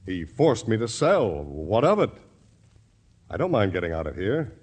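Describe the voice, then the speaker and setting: An actor playing a fed-up, defensive local neighbor in a vintage radio drama, talking in a halting, folksy way. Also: male voice, lowish voice, very animated, a little mellow, breathy edge, heavily acted. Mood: defensive, indignant.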